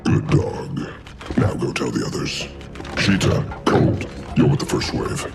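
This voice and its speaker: An actor playing an arrogant, menacing gorilla warlord in a video game, gravelly and grandiose in his delivery. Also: very deep voice, animated, heavily acted. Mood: cold, menacing. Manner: slow, grandiose, domineering. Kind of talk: video game cutscene acting. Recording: loud music behind.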